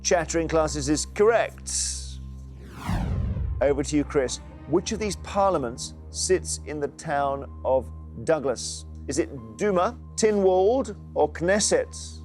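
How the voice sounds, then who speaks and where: A man's voice: fairly high pitch, lively intonation, takes it slowly, a little mellow, faint music behind. A man hosting a TV quiz show, reading questions and bantering playfully with contestants.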